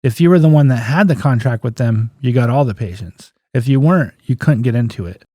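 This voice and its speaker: Guy in his 50s chatting in an interview, relaxed mellow voice, folksy stories, really dry recording. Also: mid-range voice, a little fast, dry recording. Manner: anecdotal, acts out remembered dialogue, folksy plain-spoken phrasing. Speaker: guest, physical therapist and clinic owner. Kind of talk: casual interview storytelling.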